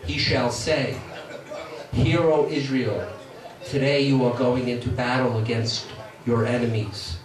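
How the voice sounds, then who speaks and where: A man's voice: mid-range voice, measured pace, a crowd in the background. A man from Brooklyn with a New York accent, giving a plainspoken speech as guest speaker at a meeting and reading scripture aloud. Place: meeting hall. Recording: echoey hall from far off. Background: audience murmur.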